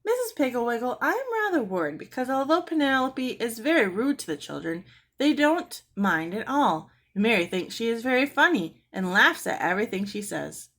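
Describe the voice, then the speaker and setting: A woman voicing a polite, gently coaxing mother in a children's storybook. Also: on the high side, very animated, moderate pace, pitched above the performer's own voice, exaggerated voice. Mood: worried. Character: worried, polite mother of a young girl.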